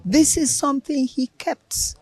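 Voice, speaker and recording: An older Nigerian woman with a Nigerian English accent, speaking in a slow, earnest way. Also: high-pitched, a little mellow, no echo. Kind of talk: reflective speech.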